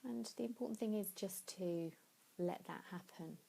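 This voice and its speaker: A woman leading a guided sleep meditation in a soothing, unhurried way, pausing between phrases. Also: medium pitch, creaky, mellow, low-quality recording. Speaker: yoga nidra meditation teacher.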